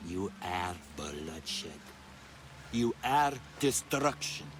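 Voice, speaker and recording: A deep-voiced villain taunts with cruel, sly menace, swinging widely between hushed drops and swells. It is theatrical video game acting, with rain and noise behind the voice. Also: male voice, sing-song, drawn-out words, exaggerated voice. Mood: sinister gloating.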